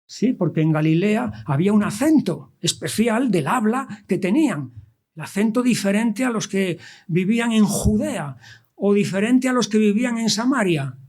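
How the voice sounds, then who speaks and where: A man with a Madrid Spanish accent preaching an expository sermon, deliberate, pausing and posing rhetorical questions as he reads scripture. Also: sits high, animated, a little mellow. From Spain, born in Andalusia and raised in Madrid.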